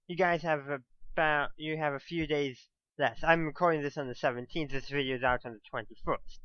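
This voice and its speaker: A man with a fairly high, clean voice talks through a casual review. He rambles and stops short, backing up to rephrase as he goes. The sound is fairly dry and a little dull. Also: steady tone, no echo. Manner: halting, often backtracking and rewording mid-sentence. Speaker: book reviewer.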